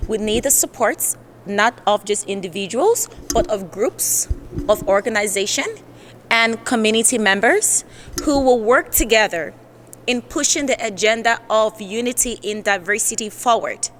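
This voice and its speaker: A woman's voice: a little high, moderate pace, a bit bright. Female event presenter, prepared delivery, some background noise. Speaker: event host introducing a forum.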